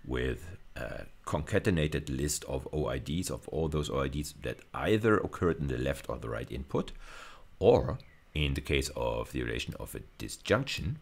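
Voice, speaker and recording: A man's voice: rumbling low, very animated, normal speed. A man giving a technical university video lecture, explaining step by step and often repeating and rephrasing his phrases.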